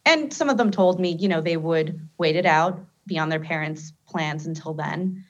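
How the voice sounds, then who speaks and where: Low-voiced woman reporter on dull line. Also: moderate pace. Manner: informative, steady. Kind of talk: radio panel discussion.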